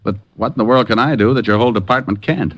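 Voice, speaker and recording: An actor playing a breezy, teasing private detective in old-time radio drama style, with sarcastic, playful delivery. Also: male voice, medium pitch, quick pace, dull audio, lightly acted. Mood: businesslike.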